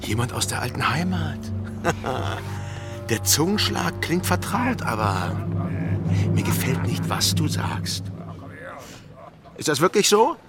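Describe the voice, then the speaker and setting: An actor playing a swaggering, teasing pirate captain in a radio drama, with a theatrical, taunting and menacing delivery. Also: male voice, fairly high pitch, big pitch swings, slow, pauses often, exaggerated voice. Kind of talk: German radio drama acting. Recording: studio radio play under loud music.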